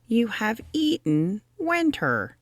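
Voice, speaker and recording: Woman doing a little boy hedgehog from a picture book, sleepy, kinda bossy, emphatic and animated. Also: on the high side, takes it slowly, no echo, studio quality, pitched above the performer's own voice, full character voice. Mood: doubting. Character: small male hedgehog, sleepy, patient, gently bossy friend.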